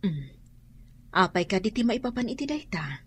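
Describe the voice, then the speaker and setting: A woman's voice: medium pitch, big pitch swings, moderate pace, breathy edge. A woman playing a caring, concerned mother in a radio drama. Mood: caring, earnest.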